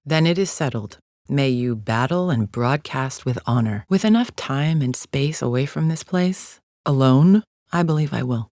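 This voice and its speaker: A woman's voice: rumbling low, lively intonation, a little slow, darkish tone, dry recording, clean studio sound, exaggerated voice. An actress playing a proud, disdainful alien arena champion in a video game, a cold warrior woman with stiff, formal, haughty diction. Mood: solemn, measured. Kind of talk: scripted video game character lines.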